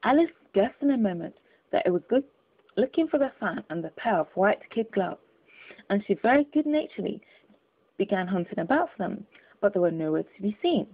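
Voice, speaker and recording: A woman reading a classic children's story aloud in an expressive, careful storytelling manner. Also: mid-range voice, a little fast. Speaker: audiobook reader. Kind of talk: storybook narration. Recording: tinny phone-like audio.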